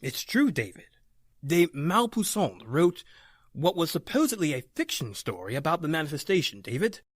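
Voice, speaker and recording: A man playing a grave, authoritative occult doctor in an old-time radio horror drama, speaking emphatically and deliberately. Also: fairly high pitch, sing-song, no room sound, studio quality. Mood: solemn, explanatory. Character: grave, authoritative male doctor battling the supernatural.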